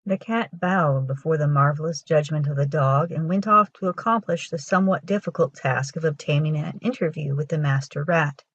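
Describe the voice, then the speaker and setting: A woman with a husky voice reading a children's folktale aloud as a storyteller. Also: low voice, normal speed, hardly any pauses, dry recording, lo-fi sound. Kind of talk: scripted storybook narration for children.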